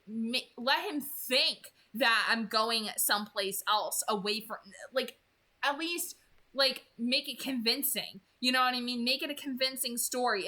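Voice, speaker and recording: A woman in her twenties giving casual, excited commentary as a read-aloud host, enthusiastic and emphatic. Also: on the high side. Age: 20s.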